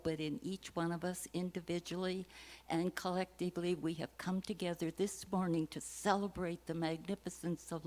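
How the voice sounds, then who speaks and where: A woman leading a slow, solemn spoken prayer at a church service. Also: lowish voice, darkish tone. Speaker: prayer practitioner.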